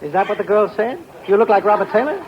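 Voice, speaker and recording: An actor playing a wisecracking, scheming neighbor in a 1940s radio sitcom, with dry and sly jokes. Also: male voice, high-pitched, expressive, fast. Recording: dull, faintly hissy old radio broadcast.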